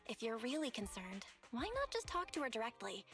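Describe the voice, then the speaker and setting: A woman's voice: fairly high pitch, big pitch swings, fairly quick, music in the background. An actress playing a friendly, level-headed young woman in a video game, speaking in a frank, reassuring way.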